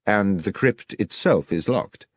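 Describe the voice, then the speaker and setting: Man acting a gentleman detective, shrewd, composed, formal, incisive, expressive pitch, dry audiobook sound. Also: a little slow, no room sound. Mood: probing. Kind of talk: audiobook character dialogue.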